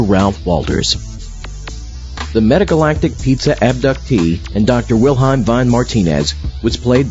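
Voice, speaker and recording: A man's voice: medium pitch, darkish tone. Male credits announcer, scripted read, mid-range voice, faint music, slightly lo-fi recording. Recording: dull lo-fi audio.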